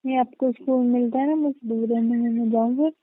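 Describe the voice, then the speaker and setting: A woman's voice: on the high side, steady tone, fast, no room sound, close to an everyday voice. A woman playing a pouty, teasing young wife in casual, intimate banter, with curt mock indignation. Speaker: wife in a couple's call. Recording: tinny narrow phone-call sound.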